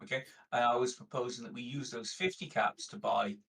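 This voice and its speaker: A man's voice: mid-range voice, a little fast, creaky, fairly bright tone. A man playing a tabletop game, talking casually and explaining things methodically.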